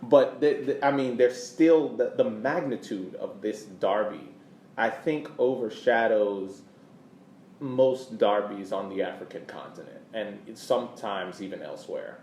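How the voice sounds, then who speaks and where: Male podcast co-host, halting explanatory delivery, casual sports talk, roomy recording with some background noise. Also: lowish voice, a little mellow.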